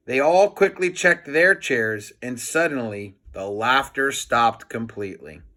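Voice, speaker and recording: A man reading a children's book aloud in an expressive storytelling style, like a teacher to a class. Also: fairly high pitch.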